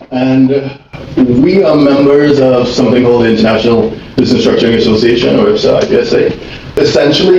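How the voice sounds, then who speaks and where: A man's voice: medium pitch, a little mellow. A man informally introducing and moderating a panel discussion. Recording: distant mic, echoey noisy room, dull sound.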